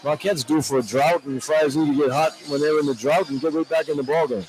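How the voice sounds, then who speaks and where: A man's voice: on the high side, fairly even intonation, fast talker, darkish tone, slight background noise, poor audio. A man giving conversational analysis on a live basketball radio broadcast, often stammering and repeating words. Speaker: color analyst. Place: basketball arena.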